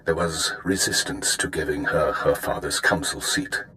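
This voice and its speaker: An actor playing a discreet imperial spymaster in a game, formal, measured and guarded. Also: male voice, extremely low pitch, fairly even intonation, sharp tone, low-quality recording. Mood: guarded, advising.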